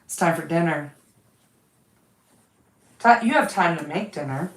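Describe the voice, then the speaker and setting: Slow-talking woman chatting while she sews. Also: sits low, expressive, roomy sound. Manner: chatty, reads out comments while working. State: lost her voice. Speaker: quilting livestream host. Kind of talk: casual chat with viewers while sewing.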